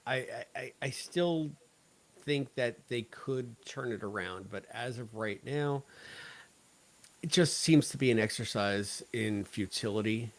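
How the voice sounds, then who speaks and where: Male podcast co-host, average pitch, wry and joking in casual review chat. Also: slow pace. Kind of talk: casual review chat about an audio drama.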